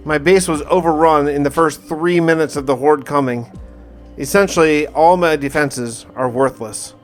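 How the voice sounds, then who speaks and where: Theatrical man with a higher-pitched voice. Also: soft background music. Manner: theatrical, emphatic, with drawn-out words. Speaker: gaming video host. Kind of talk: dramatic first-person survival diary narration of gameplay.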